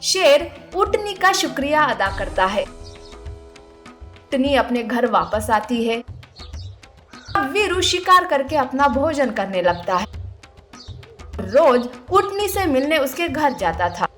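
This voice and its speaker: A woman's voice: high voice, sing-song, slow, pauses often, fairly bright tone, soft background music. A woman narrating a scripted children's story as the storyteller.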